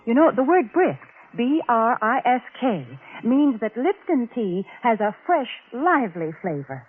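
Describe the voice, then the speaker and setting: A woman plays chatty radio spokeswoman in a vintage 1940s style, folksy and homey. Her slightly husky voice moves animatedly, and the old recording sounds phone-like. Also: fairly high pitch. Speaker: sponsor spokeswoman and co-host. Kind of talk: 1940s radio advertising pitch. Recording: tinny, band-limited old radio recording.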